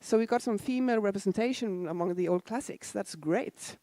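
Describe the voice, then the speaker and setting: A woman's voice: medium pitch, fairly quick, warm tone, no echo. A woman in her forties, originally from Denmark, giving a casual, self-deprecating conference talk with asides to the audience. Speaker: conference speaker. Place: conference hall.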